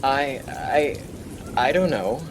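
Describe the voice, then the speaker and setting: Puzzled, uneasy lighthouse companion played by a man, mid-pitched, hesitant and sceptical, radio play with rain behind. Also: expressive, slow pace. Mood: uneasy, baffled. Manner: hesitant, casual, questioning. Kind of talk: student radio play acting. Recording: rain and thunder noise behind. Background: rain and thunder.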